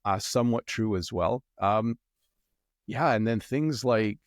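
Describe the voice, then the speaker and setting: A middle-aged Canadian man with a Canadian accent, chatting as an interview guest in a relaxed, rambling way with many ums and restarts. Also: on the low side, unhurried, no echo, studio quality. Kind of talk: casual interview chat.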